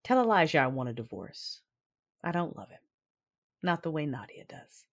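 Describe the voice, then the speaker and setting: A woman's voice: low voice, sing-song, full character voice. Grave, formal warrior woman narrator played by an actress. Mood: solemn, restrained. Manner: measured, formal, literary. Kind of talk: first-person audio drama narration.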